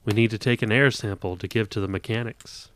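A man's voice: lowish voice, fairly even intonation, fairly quick, fairly bright tone, close to an everyday voice. A man voicing a stern young army private first class who bosses a new recruit around. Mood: bossy. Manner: bossy, giving orders.

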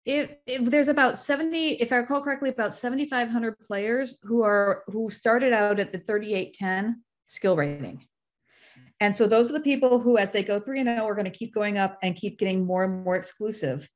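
A woman's voice: on the high side, moderate pace. A woman on a podcast panel explaining things analytically and precisely in casual conversation. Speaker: podcast guest.

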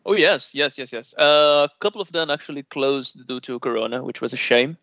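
A man's voice: medium pitch, brisk, creaky, no room sound. Brazilian man, Portuguese native, easygoing interviewee; muffled dry recording. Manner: relaxed and chatty, laughs often, many filler uhs. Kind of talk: casual conversational interview. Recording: dull lo-fi dry sound.

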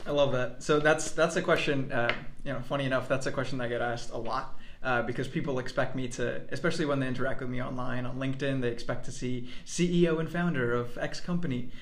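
A man's voice: medium pitch, steady tone, quick pace, few pauses, slight background noise. Talkative man with a Jersey accent. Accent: New Jersey. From Mexico. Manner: talkative, upbeat, frequent uh and um fillers. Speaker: guest, entrepreneur. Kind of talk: casual podcast interview. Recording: roomy, echoey room sound.

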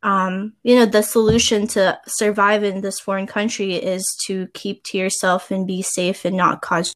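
A woman's voice: mid-range voice, level tone, normal speed, fairly bright tone. Chatty woman from the Philippines. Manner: agreeable, frequent backchannels, rambling. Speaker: conversation partner. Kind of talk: casual conversation.

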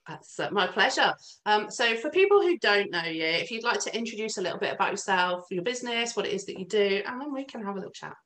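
A woman's voice: medium pitch, lively intonation, quick pace, hardly any pauses, fairly bright tone. A woman hosting an interview podcast, friendly and welcoming, with a few uh fillers. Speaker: host.

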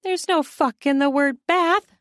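A woman's voice: high-pitched, a little slow, no echo, clean studio sound, pitched above the performer's own voice. A woman voicing a lustful, impatient woman who whimpers, pleads and pouts in an audiobook romance. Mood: pouting, impatient. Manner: breathy whimpering and pouting.

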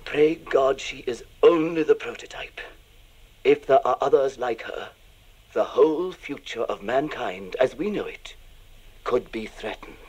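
A man playing a grave doctor dictating alarming findings in a formal, deliberate, ominous way for a radio drama, with a husky voice. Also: mid-range voice, animated, darkish tone, rough recording. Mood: fearful, ominous. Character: grave male doctor recording his alarming clinical findings on tape. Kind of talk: radio drama monologue, measured scientific dictation. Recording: played-back tape in a radio play.

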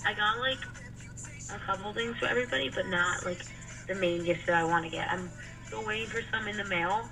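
A woman's voice: medium pitch, brisk. Chatty woman, distant from the mic. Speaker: co-host. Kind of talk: casual podcast chat. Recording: distant from the mic, music behind.

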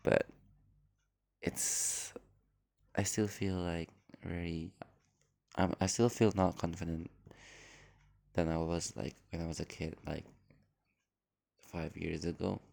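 A man's voice: sits low, level tone, slow, gravelly, pauses often, a little mellow. A man from Indonesia, introducing his podcast in a hesitant, apologetic, rambling way full of fillers, recorded on a phone at home. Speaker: podcast host. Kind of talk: casual unscripted introduction.

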